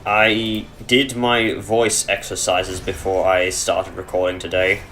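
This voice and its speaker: Chesty low-voiced man narrating his game. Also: level tone, takes it slowly, a bit bright, slight background noise. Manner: rambling, self-correcting, dry humor. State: chesty, clearing his throat. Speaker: let's play gamer. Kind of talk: casual gameplay commentary. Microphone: headset.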